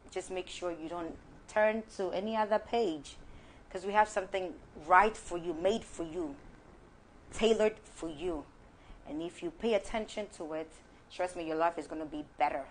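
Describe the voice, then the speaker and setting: A young Ghanaian woman hosting a studio talk show, lively and encouraging, mixing Twi with English, on a lapel mic. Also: lowish voice, a little hiss or hum.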